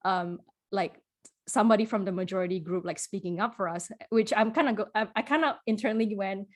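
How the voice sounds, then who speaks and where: A woman from Singapore, a podcast guest, talking in a thoughtful, halting way with many restarts and frequent laughs. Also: mid-range voice. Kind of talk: casual conversational interview.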